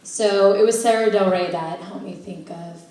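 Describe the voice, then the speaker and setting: A woman's voice: medium pitch, steady tone, mellow, distant mic. Bubbly woman in an echoey hall. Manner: bubbly, laughs often, quick asides. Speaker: panelist answering fan questions. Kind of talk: casual convention Q&A. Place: large convention hall. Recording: echoey hall, heard from afar.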